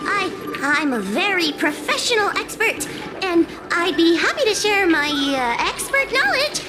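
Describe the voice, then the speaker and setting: Very high, timid fox-girl exorcist. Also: big pitch swings, measured pace, heavily acted, poor audio. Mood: nervous. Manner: hesitant, stammering, apologetic. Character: timid, earnest young fox-girl exorcist stammering through expertise. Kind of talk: anime-style game voice acting. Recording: game music behind the voice.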